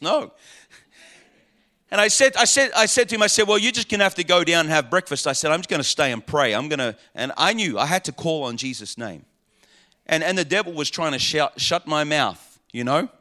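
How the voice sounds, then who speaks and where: A man preaching a sermon as pastor, fervent and repetitive, his voice a little hoarse. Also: high-pitched, pushed and bright. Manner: fervent preaching with repeated phrases. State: slightly hoarse.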